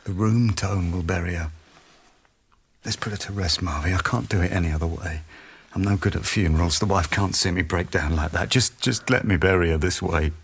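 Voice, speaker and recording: Brooding obsessed scientist guy, radio drama, super deep husky voice, intense n grieving. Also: brisk. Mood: grieving, obsessive. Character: obsessive, grief-stricken male scientist, stubborn and intense.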